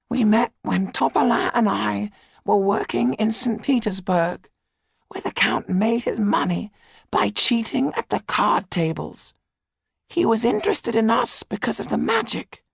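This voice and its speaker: High, croaking, haunted magician. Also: male voice, dry recording, clean studio sound, heavily acted. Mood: grave, wary. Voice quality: high, raspy, creaky, breathy. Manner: slow, dramatic, halting storytelling. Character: haunted, secretive male magician, fearful and grave. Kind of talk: audiobook character acting.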